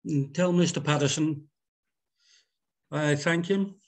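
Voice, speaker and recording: A man's voice: on the high side, slow. A man voicing a curt, domineering 1830s Virginia farm master who gives clipped, folksy orders at a script reading. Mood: gruff. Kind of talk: script table read.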